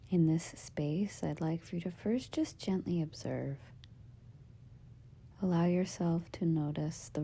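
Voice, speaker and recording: Female meditation guide, husky half-whisper with creaky edges, slow and soothing. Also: deep voice. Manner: gentle, soothing, measured instructions in short phrases with pauses. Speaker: yoga nidra meditation teacher.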